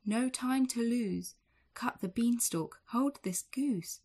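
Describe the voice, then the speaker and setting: A woman's voice: lowish voice, slow, vocal fry, breathy edge, full character voice. A woman doing an eager young boy hero. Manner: excited, raised voice.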